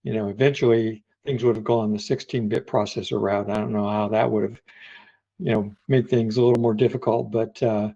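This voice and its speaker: Gravelly, creaky-voiced man in casual chat. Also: on the low side, steady tone, brisk, warm tone, dull audio. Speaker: podcast co-host. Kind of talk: casual chat about computer history.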